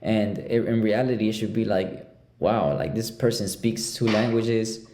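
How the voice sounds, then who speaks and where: A young man hosting a casual interview, talking in halting phrases with many restarts. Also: on the low side, mellow, roomy sound. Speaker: podcast host.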